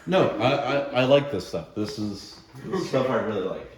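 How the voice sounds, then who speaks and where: Young man, lowish voice, halting casual explainer, table-read director, very echoey distant recording. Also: sing-song, a crowd in the background. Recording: roomy, echoey, distant mic with noise.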